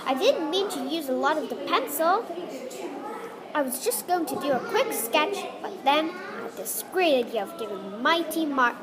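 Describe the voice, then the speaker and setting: A high female voice reads a children's story aloud. Behind the voice there is loud crowd noise from a busy room. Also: sing-song, normal speed. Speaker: book reader at a read-aloud event.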